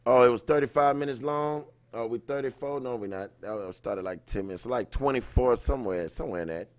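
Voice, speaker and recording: A man's voice: mid-range voice, brisk, no room sound. A man hosting a livestream in an informal, slangy way, moving between reading aloud and casual chat with viewers. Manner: informal, slangy, greets viewers by name mid-thought. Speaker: livestream host.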